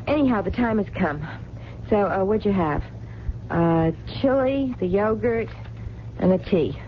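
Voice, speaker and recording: Lively, plain-spoken American waitress. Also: female voice, mid-range voice, takes it slowly, long pauses. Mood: wry, friendly. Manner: casual, colloquial, folksy. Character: plain-spoken, soft-hearted diner waitress. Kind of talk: radio drama acting. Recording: dull lo-fi radio recording, faint noise.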